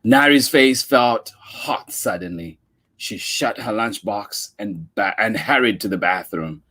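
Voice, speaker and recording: A man's voice: on the low side, slow pace, breathy edge. A man reading a picture book aloud to children, cooing and sighing along with the story and talking to his listeners. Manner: expressive, sighs and coos at the story. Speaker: storytime reader. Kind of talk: children's read-aloud with asides.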